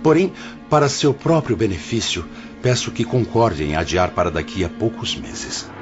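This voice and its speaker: An actor playing a serene, wise, fatherly director of an afterlife hospital in an audiobook drama, speaking in a measured, formal, counselling way. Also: on the low side, lively intonation, lightly acted. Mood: gently firm. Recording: dull lo-fi audio under prominent music.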